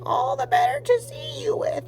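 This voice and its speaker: Wolf in disguise, squeaky put-on falsetto, fake sweetness, slow, woman voicing. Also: creaky, a little mellow, faint music behind. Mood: false sweet. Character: male wolf in disguise, mimicking a little girl in a high voice.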